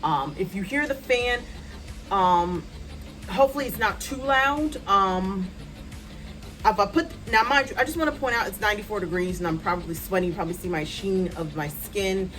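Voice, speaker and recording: A woman in her thirties, a writer, chatting casually to her phone's front camera at home, loud-voiced and rambling with many ums and asides. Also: mid-range voice, normal speed. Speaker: writer vlogger. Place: hot room at home with a fan.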